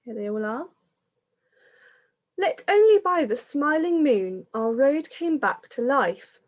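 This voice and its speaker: A woman, a primary school teacher, reading a picture book aloud to young children in a measured, explanatory manner. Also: sits high, very animated.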